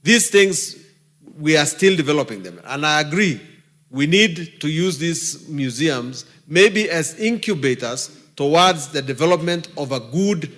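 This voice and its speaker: A Kenyan man, a member of parliament, giving a measured debate speech, pausing often on fillers, in a parliament chamber. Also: on the high side, expressive, fairly bright tone.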